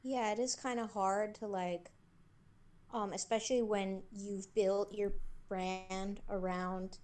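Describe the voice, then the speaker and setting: A woman with a medium-pitched voice chats as a podcast co-host. Her delivery is informal and slangy, and she comes across as supportive. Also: steady tone, slow, a little mellow. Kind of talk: casual chat.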